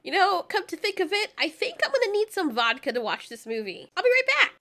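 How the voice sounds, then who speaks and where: A woman's voice: extremely high pitch, big pitch swings, brisk, pushed and bright. A woman doing a casual, comedic movie recap as a channel host, with a sweary, sarcastic, slangy way of talking.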